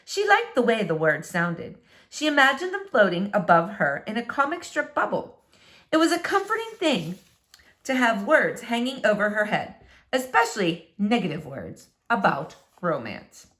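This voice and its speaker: A woman reading a children's book aloud as a storyteller, with expressive, dramatic emphasis. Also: medium pitch, moderate pace.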